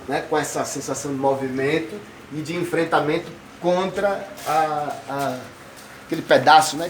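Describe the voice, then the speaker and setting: A man's voice: on the high side, poor audio. A man with a slightly raised voice talks casually in an interview, looping through his ideas and tagging many sentences with questions. He sounds like an artist explaining his work. A faint hiss runs under the recording. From Bahia, Brazil. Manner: discursive, meandering, frequent tag questions.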